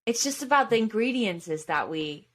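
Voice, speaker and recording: A woman hosts a casual podcast interview, asking curious questions. Her voice sits at a middle pitch. She is agreeable and keeps chiming in with short affirmations. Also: animated, normal speed. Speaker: podcast host.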